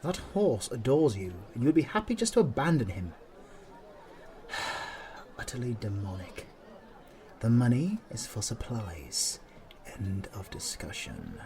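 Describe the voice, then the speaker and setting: A man's voice: mid-range voice, huge pitch swings, unhurried, long pauses, dark tone. A British man playing a stern, aloof veteran demon hunter in a fantasy audio roleplay, speaking haughtily and formally to the listener. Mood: exasperated. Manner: haughty, clipped, dryly formal.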